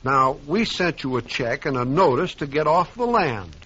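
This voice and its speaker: Guy doing a flustered railroad man, highish tense voice, formal n pushy, radio western, dull audio. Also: big pitch swings, normal speed, fairly bright tone, slightly rough, full character voice. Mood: nervous, insistent. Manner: pleading, insistent, formal, stammering when flustered. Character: exasperated, officious railroad agent in the Old West. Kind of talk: old-time radio western acting. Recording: dull lo-fi recording.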